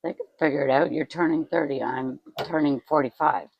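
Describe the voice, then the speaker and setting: A woman's voice: low voice, a little fast, vocal fry, no room sound, lo-fi sound. A woman in her forties chatting casually to her vlog audience at home, self-mocking and swearing freely. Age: 40s. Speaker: vlogger.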